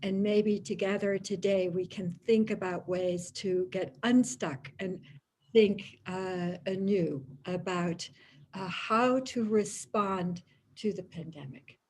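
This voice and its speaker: A woman's voice: medium pitch, slow, a little mellow, slight background noise. A woman with a Dutch accent, Dutch her first language, giving a thoughtful lecture-style talk with many fillers and restarts. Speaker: guest lecturer.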